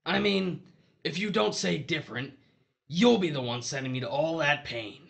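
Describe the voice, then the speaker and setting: A gravelly, fairly high-voiced ghost of a no-good husband acted by a man in an audio drama. He pleads and justifies himself, a hustler angling for redemption. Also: lively intonation, moderate pace, fairly bright tone. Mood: desperate guilt-tripping.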